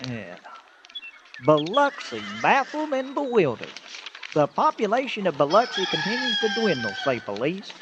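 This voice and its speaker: Noisy recording, folksy Southern manure hauler. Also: male voice, a little high. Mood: reading, scornful. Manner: folksy, exclamatory, talks to himself. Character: folksy excitable male manure hauler from Mississippi. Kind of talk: old-time radio comedy acting. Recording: noisy radio-play recording.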